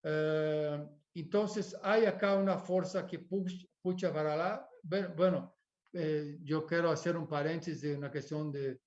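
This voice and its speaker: A man whose first language is Portuguese gives an academic architecture lecture with a Portuguese accent, pausing to search for words and often adding tag questions. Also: a little high, steady tone, darkish tone. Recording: dull lo-fi recording.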